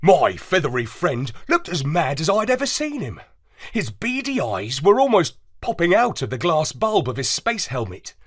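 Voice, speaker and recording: A woman narrating a children's story with an expressive storybook cadence. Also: low voice.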